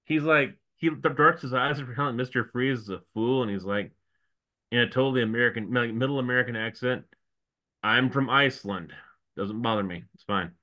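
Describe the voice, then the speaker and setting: A man's voice: medium pitch, a little fast, bright, forceful tone, muffled recording. A man co-hosting a podcast, jumping in with wisecracks and mock quotes in casual chat. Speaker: podcast co-host.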